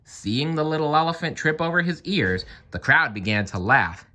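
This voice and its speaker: A man reading a children's story aloud in an expressive, animated narrating style. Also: a little high, normal speed. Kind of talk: children's read-aloud narration with playful asides.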